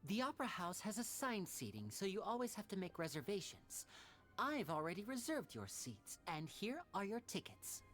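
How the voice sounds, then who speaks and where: Woman voicing a lively, charming young magician boy. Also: mid-range voice, moderate pace, music in the background, lightly acted. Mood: obliging. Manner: courteous, smooth showman. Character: polite, charming young male stage magician, obliging. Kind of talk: animated game character acting.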